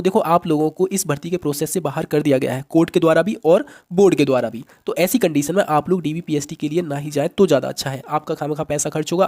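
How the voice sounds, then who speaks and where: A man's voice: fairly high pitch, a little mellow. A man hosting an update, explaining things in a teacherly way straight to his viewers and mixing in English words. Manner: teacherly, addresses listeners directly, mixes in English words. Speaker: host of an exam-update channel.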